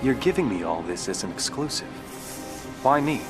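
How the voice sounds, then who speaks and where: A man's voice: mid-range voice, sing-song, normal speed, close to an everyday voice. An actor playing a skeptical male journalist in a video game cutscene, probing and incredulous. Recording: background music over dialogue.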